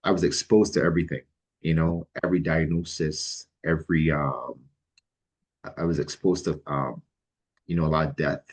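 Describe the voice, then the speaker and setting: A middle-aged man from Barbados, answering interview questions in a relaxed, halting way with many pauses and restarts. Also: extremely low pitch, slightly rough. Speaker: guest, licensed mental health counselor.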